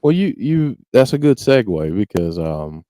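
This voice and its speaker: A man's voice: fairly low pitch, sing-song, measured pace, warm tone, dry recording. A man hosting a podcast in an easygoing casual chat, with frequent fillers. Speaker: podcast host.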